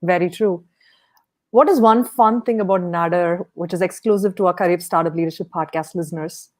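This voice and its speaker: Female host for interview podcast. Lower register, affirming, easy question-and-answer delivery. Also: brisk. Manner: affirming, encouraging.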